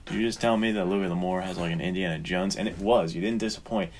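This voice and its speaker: A man's voice: sits low, steady tone, fast, darkish tone, slight background noise. A man co-hosting a podcast, talking informally about books and explaining his thoughts in a casual chat. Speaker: podcast co-host.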